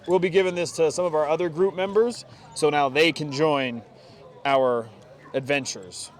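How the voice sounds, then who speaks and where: A man's voice: fairly high pitch, steady tone, normal speed. A man chatting casually and informally as he talks through hands-on gear installation, outdoors among friends. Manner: informal, slangy, says dude. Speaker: motorcycle vlogger.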